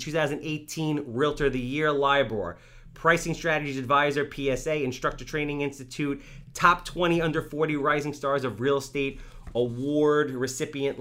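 Joking bright-voiced man hosting a podcast. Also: mid-range voice, moderate pace. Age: 30s. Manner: joking, hyped intro reading. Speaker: podcast host. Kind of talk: casual podcast chat.

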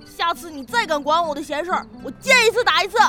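A brash, bullying small boy, played by a woman in a radio play, very high and piercing. He shouts taunts and threats with faint music behind. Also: expressive, quick pace, exaggerated voice. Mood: furious, threatening. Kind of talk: Chinese audio drama acting.

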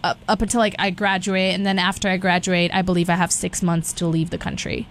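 A woman's voice: on the low side, little pitch movement, brisk. Young woman, Brazil-raised, sounds Southern Californian, chatty rambling guest, faint background hiss. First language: Portuguese and Spanish. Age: young adult. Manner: rambling, many restarts and fillers. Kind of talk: casual interview chat.

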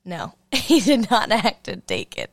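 A woman's voice: mid-range voice, wildly swooping pitch, measured pace, no echo. A woman in her twenties co-hosting a podcast, teasing and playful in casual chat. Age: 20s. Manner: teasing, playful, laughs easily. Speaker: podcast co-host.

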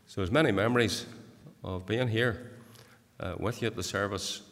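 A man's voice: fairly low pitch, normal speed. An older man with a Northern Irish accent preaching an earnest sermon in a church, rising to emphatic exhortation. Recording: church hall reverb.

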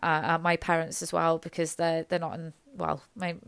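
A woman, a nurse, talking in a halting, rambling, self-deprecating way, her voice hoarse and gravelly from illness. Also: deep voice, flat delivery, no echo. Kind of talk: reflective personal monologue.

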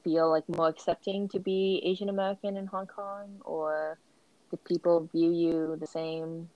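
A woman's voice: lowish voice, steady tone, unhurried, no echo, dull audio. A young adult woman chatting casually, hedging often with "like" as she asks questions. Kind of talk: casual conversation.

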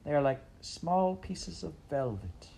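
A man reading aloud, voicing a young princess in a high, pleading, willful voice. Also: sing-song, unhurried, gravelly, mellow, a little hiss or hum, exaggerated voice. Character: young princess, spoiled but tender.

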